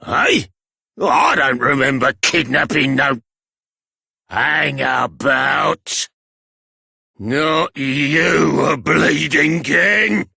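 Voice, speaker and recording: Game bandit boss, blustering, cowardly, raspy, forceful shouting, creaky edge, working-class English accent. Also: male voice, fairly high pitch, expressive, drawn-out words, no echo, studio quality, exaggerated voice. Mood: menacing, exasperated. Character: brash male bandit boss, blustering, cowardly when beaten. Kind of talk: theatrical video game voice acting.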